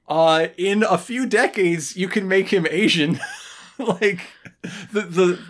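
A woman's voice: fairly low pitch, takes it slowly. A woman co-hosting a podcast in casual, comedic recap chat, jokey and prone to puns and chuckling asides. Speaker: podcast co-host.

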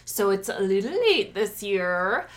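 A woman's voice: medium pitch, sing-song, unhurried. A woman co-hosting a podcast in casual, chatty conversation, laughing often and rambling a little. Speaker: podcast co-host.

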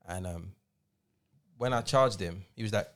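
A man's voice: on the low side, a little slow. Slangy man in his forties. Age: 40s. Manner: slangy, emphatic storytelling. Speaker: podcast co-host, DJ. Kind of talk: casual chat.

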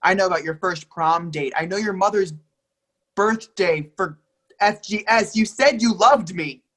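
A lovestruck young partner in a stage drama, read by an actor, with a mid-pitched voice. The delivery is emphatic and imploring, hurt and insistent, as in a script-in-hand play reading. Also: measured pace, fairly bright tone. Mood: heartbroken. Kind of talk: readers theatre dialogue reading.

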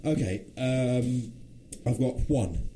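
A man co-hosting a relaxed radio chat in a mellow, dark voice. He stops and restarts often and fills the gaps with "uh", with a faint hiss in the background. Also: medium pitch, slow. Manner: hesitant, many fillers and restarts. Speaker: co-host.

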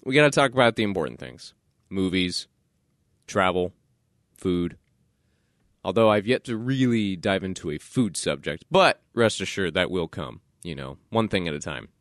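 A man's voice: low-pitched, normal speed, dry recording, studio quality. Stuffed-up nasal man hosting a podcast. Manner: animated, playful self-promotion. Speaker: podcast host. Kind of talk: casual solo podcast talk.

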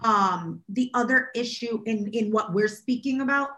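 Bright, high-pitched woman co-hosting a podcast. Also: normal speed. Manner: ranting, emphatic and opinionated. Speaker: podcast co-host. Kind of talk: casual chat.

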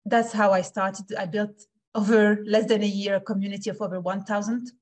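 A woman in her forties from Switzerland, telling her story conversationally as a podcast guest, energetic and repeating words for emphasis. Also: medium pitch, a little fast. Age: 40s. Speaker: podcast guest, founder and investor.

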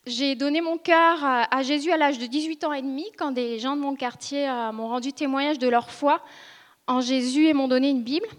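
High-voiced woman in a reverberant hall. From northern France. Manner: earnest, hesitant, with frequent pauses and restarts. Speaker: church member giving testimony. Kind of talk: personal testimony to a congregation. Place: church ministry school meeting room. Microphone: seated speaker, filmed for video.

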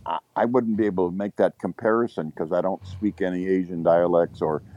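Opinionated man with a low voice. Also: fairly even intonation, dark tone, lo-fi sound. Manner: opinionated, halting with repeated restarts. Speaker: podcast co-host. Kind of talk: casual panel discussion.

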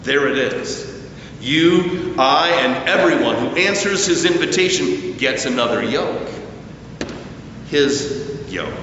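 A man preaching a sermon at a slow, deliberate pace, his voice rising and falling with emphasis. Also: medium pitch, slight background noise. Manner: slow, deliberate preaching with lively rises and falls. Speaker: pastor. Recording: echoey church hall, distant mic, dull sound.

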